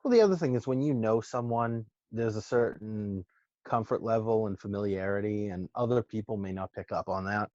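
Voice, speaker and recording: Chatty Massachusetts man, low voice. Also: fairly quick, a little mellow, dry recording, highs cut off. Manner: rambling, profane, many restarts and fillers. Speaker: podcast guest, social media marketer. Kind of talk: casual chat.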